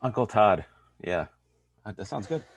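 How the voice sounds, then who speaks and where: A man's voice: fairly low pitch, very animated, normal speed, slight background noise. A man in his fifties introducing himself on a video call, upbeat and rambling. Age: 50s. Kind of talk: self-introduction.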